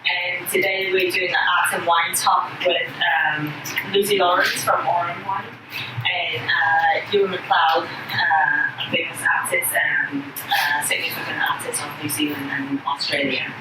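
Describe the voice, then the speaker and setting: A woman's voice: fairly low pitch, level tone, a little slow. A woman, a wine consultant, hosting a talk at a gallery, hesitant with many uhs. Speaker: wine consultant and event host. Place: gallery room. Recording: echoey room from far off, with background noise.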